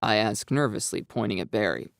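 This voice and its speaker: An audio story narrator delivering a scripted first-person tale. The pitch moves in an animated way and the voice has a creaky edge. The recording is dry, with music behind it. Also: a little fast, no echo. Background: background music.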